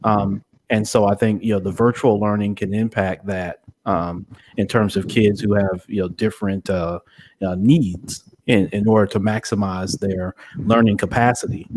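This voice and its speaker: A man with a fairly low voice hosts a podcast interview. He talks conversationally and feels his way through long, winding sentences in a measured, hesitant manner. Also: steady tone, darkish tone, slight background noise, poor audio.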